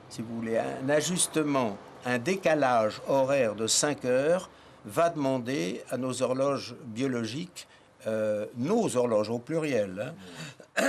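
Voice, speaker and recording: A man explains to an interviewer in a hesitant way, pausing with fillers. His pitch is middling, and faint noise sits behind him. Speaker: interviewed expert. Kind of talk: documentary interview.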